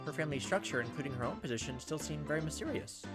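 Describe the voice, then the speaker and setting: A man's voice: fairly high pitch, expressive, rapid-fire. A man hosting gameplay, reading a visual novel aloud with casual commentary. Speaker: gameplay host. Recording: loud game music behind.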